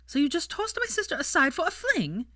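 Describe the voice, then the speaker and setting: A woman's voice: extremely high pitch, sing-song, a little fast, bright, forceful tone, no echo, clean studio sound, voice pushed up, exaggerated voice. A woman voicing a feisty, blunt, indignant young woman in an audiobook, drawling and impatient. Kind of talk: audiobook character voice.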